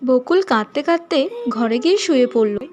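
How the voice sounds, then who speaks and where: A woman's voice: sits high, animated, normal speed, a little mellow. A woman narrating a fairy tale as a scripted story.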